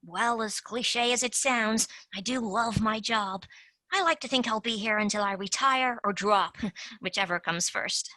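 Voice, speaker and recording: A woman's voice: fairly high pitch, unhurried, pushed and bright, no room sound. A woman playing a casual, earnest tooth fairy field agent in an amateur radio play.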